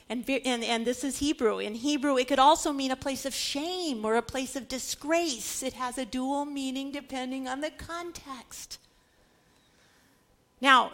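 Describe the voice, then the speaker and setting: A woman with a notably high voice delivers a teaching sermon. She leans dramatically on key words and often turns to her listeners with rhetorical questions, in the manner of a preacher explaining scripture. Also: fairly bright tone. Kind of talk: sermon with Bible history teaching.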